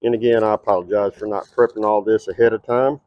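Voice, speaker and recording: A man's voice: fairly low pitch, fairly even intonation, fast talker, dry recording, dull audio. A middle-aged man with a Texas twang, hosting a cooking show at an outdoor backyard table and chatting straight to his viewers.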